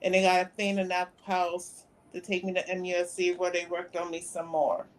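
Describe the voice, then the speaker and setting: Flat-voiced woman in her fifties. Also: on the low side, moderate pace, low-quality recording. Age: 50s. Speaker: guest. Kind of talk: interview conversation.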